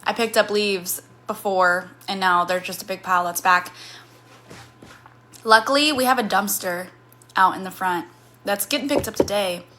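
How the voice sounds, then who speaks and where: A woman's voice: medium pitch, normal speed, pushed and bright, a little hiss or hum. A woman in her thirties from Missouri with a slight Missouri accent, chatting casually on a livestream and answering chat comments in a rambling way. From Missouri, USA.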